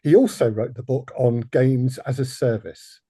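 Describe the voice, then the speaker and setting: A man hosts a podcast interview in a relaxed, mellow voice with a slightly husky grain. He is self-deprecating, and the sound is dry and close. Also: medium pitch, normal speed, no echo. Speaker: podcast host. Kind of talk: hosted panel interview.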